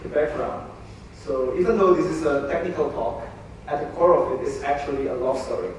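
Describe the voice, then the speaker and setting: A man's voice: on the high side, brisk, a little hiss or hum. A young man from Indonesia giving a meetup talk as a front-end engineer, explaining things in a friendly, plain way with frequent ums and uhs. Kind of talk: conference presentation. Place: large room at a company meetup. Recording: echoey hall, mic far off.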